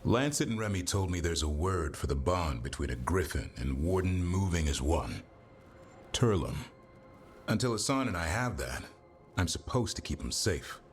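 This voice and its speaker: Battle-worn game warrior, low and expressive, gruff, terse and dry, music underneath. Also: male voice, normal speed, a little hiss or hum. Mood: wry, fond. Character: gruff, battle-worn male warrior monster hunter, secretly soft-hearted. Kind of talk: cinematic fantasy game dialogue.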